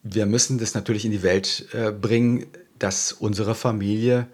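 A man answering interview questions in a thoughtful way, often pausing and searching for words. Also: lowish voice, steady tone, a little mellow, breathy edge. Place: Berlin.

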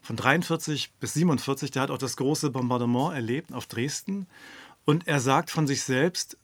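A man's voice: medium pitch, normal speed, fairly bright tone, no room sound. A man hosting a podcast interview, chatty and curious, asking his guest leading questions. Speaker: podcast host. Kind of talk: conversational interview.

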